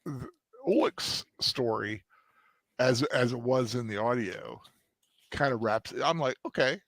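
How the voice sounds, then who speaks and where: A man co-hosting a casual review discussion, talking in a hesitant, halting way with many restarts. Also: medium pitch, dry recording. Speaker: co-host.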